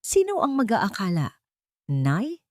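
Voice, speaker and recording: Narrator as a hopeful, loving young wife. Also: female voice, medium pitch, huge pitch swings, slow, no room sound, studio quality, voice pushed up. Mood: amused, tender. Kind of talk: storybook dialogue within narration.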